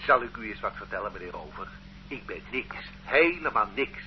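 A man's voice: on the low side, fairly quick, lightly acted. A man playing a chatty older street idler. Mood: bewildered. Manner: lively, chatty, homely, protesting. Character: older male street-corner idler, easygoing and bewildered. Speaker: suspect. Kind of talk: radio drama acting. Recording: dull lo-fi radio play recording, faint hiss.

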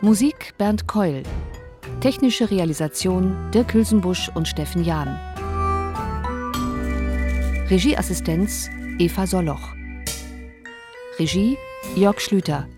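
A woman with a low voice makes a formal radio announcement. She reads scripted credits over clearly audible music. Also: slow pace.

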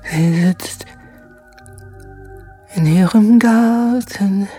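A woman playing a tormented, jealous soldier in a radio play, muttering in halting, whispery, repeated fragments. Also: medium pitch, mellow. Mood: feverish unease. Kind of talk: dramatic radio play monologue. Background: music.

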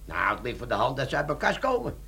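An actor playing a wily, brazen army sergeant, a chummy smooth talker in a comic radio play. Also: male voice, medium pitch, wildly swooping pitch, fast talker, sharp tone, a little hiss or hum, exaggerated voice. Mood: feigned innocence. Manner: cheeky, chummy, feigned innocence.

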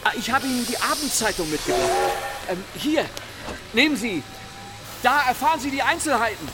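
An actor in a radio drama playing a suave, cheerful gentleman thief, courteous in a teasing, playful way. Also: very high-pitched, very animated, moderate pace, music in the background. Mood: playfully gloating.

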